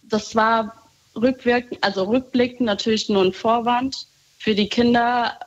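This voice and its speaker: A woman talking casually on a call, reflective and soft-spoken, often laughing lightly. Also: a little high, steady tone, unhurried, dull audio. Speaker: guest.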